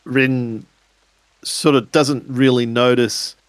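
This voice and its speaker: A man improvising action narration as a player in a tabletop roleplaying game, in halting, pieced-together phrases. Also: mid-range voice, fairly even intonation, clean tone, no echo.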